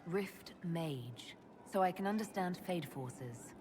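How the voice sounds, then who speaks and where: An actress playing a composed, inquisitive mage leader in a video game, asking measured, direct questions. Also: female voice, on the low side, animated, darkish tone, a little hiss or hum. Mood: cool, probing. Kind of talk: video game dialogue acting.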